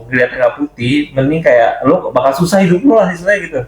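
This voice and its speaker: A man hosting a casual podcast conversation, relaxed and chatty, with short hums of agreement and easy laughs. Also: fairly high pitch, quick pace. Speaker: podcast host. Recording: roomy echo.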